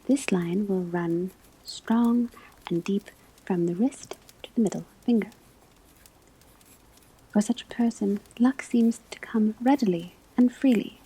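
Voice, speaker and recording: Breathy whispering witch-school professor played by a woman. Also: medium pitch, warm tone, poor audio. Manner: measured, deliberate phrasing with frequent pauses. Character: calm, scholarly witch-school divination professor lecturing on palmistry. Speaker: ASMR performer playing a teacher. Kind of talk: whispered ASMR classroom roleplay, scripted lecture.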